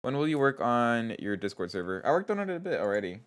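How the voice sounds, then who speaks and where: A man with a stuffy nose, streaming live as a game developer, chatting casually, reading chat aloud and thinking out loud as he codes. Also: medium pitch, big pitch swings, quick pace. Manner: thinks aloud, reads chat aloud, trails off and restarts.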